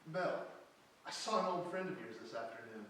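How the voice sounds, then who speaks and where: A man's voice: a little high, animated, a little fast, a bit bright. A man on stage playing a chatty, easygoing husband, recorded from far off in an echoey, hissy hall. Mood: teasing, reflective. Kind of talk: live reader's theatre stage acting. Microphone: far camera.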